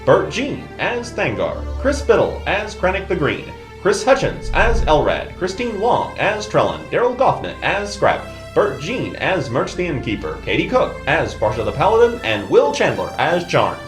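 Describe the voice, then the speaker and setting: Male credits announcer: fairly high, dramatically varied pitch, roomy echo with music behind. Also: huge pitch swings, moderate pace, hardly any pauses. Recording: echoey voice over music.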